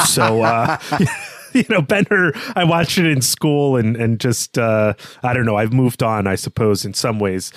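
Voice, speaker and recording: Male podcast co-host for book analysis, medium-pitched, wordy and analytical, recorded dry. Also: dry recording. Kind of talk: literary discussion.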